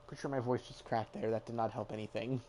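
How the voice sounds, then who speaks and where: A man's voice: mid-range voice, fairly even intonation, fast, warm tone, slight background noise. A young man streaming a game, chatting casually, swearing and mocking himself, now and then singing along. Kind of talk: casual gameplay chat.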